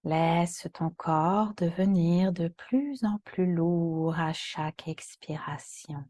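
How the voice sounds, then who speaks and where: A woman yoga teacher guiding a relaxation meditation in a calm, soothing, soft voice that often turns breathy. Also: on the low side, dry recording. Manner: calm, soothing, gentle instructions with long pauses.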